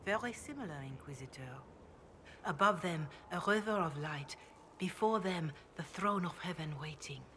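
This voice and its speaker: An actress voicing a devout, grave priestess in a video game, speaking in a measured, reverent way. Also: female voice, low voice, lively intonation, fairly bright tone, slight background noise. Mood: grave reciting. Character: devout, grave chantry priestess, calm and counselling. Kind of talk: video game dialogue, scripture recitation.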